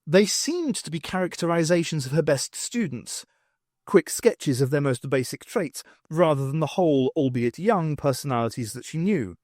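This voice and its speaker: A man reading a story aloud as an audiobook narrator, in an expressive, scripted storytelling delivery. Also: fairly high pitch, moderate pace, dry recording, clean studio sound. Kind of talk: scripted dramatic narration of fiction.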